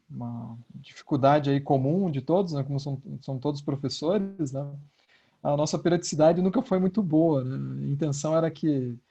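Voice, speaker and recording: Man, Curitiba accent, fairly high voice, gravelly, muffled lo-fi call. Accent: Curitiba, southern Brazil. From Curitiba, Paraná, Brazil. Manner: relaxed, frequent "né" tags, light laughs. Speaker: philosophy professor and podcaster. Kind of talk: casual self-introduction on a video call. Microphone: video call. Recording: dull lo-fi video call audio.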